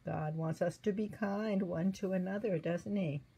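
A woman in her sixties reading a children's devotional story aloud in a gentle, teacherly way, pausing to put simple questions to young listeners. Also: on the low side, slightly rough. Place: indoor room at a table.

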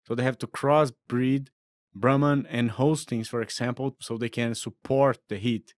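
A man's voice: mid-range voice, steady tone, measured pace, dry recording. Brazilian-accented man lecturing, dry sound. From southern Brazil. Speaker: veterinary professor. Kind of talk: recorded lecture narration.

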